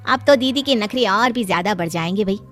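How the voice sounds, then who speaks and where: A woman's voice: medium pitch, expressive, brisk, soft background music, natural voice. A woman voicing a meddling, needling female relative in a moral-story cartoon. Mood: taunting. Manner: pointed, needling. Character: meddling, taunting women of family and neighbourhood.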